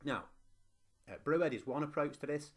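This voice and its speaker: A man's voice: fairly high pitch, expressive, normal speed. A middle-aged man from northwest England with a Lancashire accent, giving a conversational presentation to teachers, with frequent ums and restarts. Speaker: university lecturer and teacher. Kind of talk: online conference presentation.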